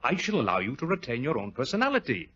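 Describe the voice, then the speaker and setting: An actor playing a sinister, gloating criminal mastermind in 1940s radio drama, suave and mock-courteous. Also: male voice, medium pitch, wildly swooping pitch, quick pace, fairly bright tone, a little hiss or hum. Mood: smug menace. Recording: dull old radio recording, music behind.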